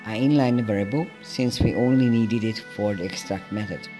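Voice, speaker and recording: A low-pitched man talks through a coding exercise in a calm, methodical voice-over, explaining each step as he goes. Soft music plays faintly beneath his narration. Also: a little fast. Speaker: programming tutor. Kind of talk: scripted screencast narration. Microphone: voice-over over screen recording.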